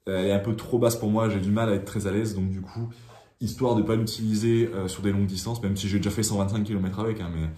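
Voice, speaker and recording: A man's voice: fairly low pitch, fairly even intonation, fast, warm tone. A young man in his twenties giving a casual, hesitant tour of his small home gym, talking to a phone camera held at arm's length. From France. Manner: chatty, hesitant, many restarts and fillers. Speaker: triathlon vlogger. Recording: echoey small room.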